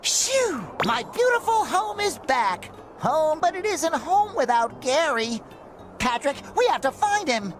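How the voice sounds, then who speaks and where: An actor voicing a cheerful, naive, childlike cartoon sea sponge, earnest and excitable, in a video game. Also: male voice, very high voice, measured pace, full character voice. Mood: relieved, cheerful. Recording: loud game music behind.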